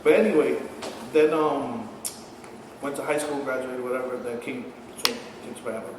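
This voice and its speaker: A man's voice: medium pitch, moderate pace. Man telling stories in an echoey room. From born in Texas, raised in Colombia and New York. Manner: laid-back storytelling, laughs often, swears. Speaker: podcast guest. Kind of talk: casual chat. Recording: echoey room from far off, faint noise.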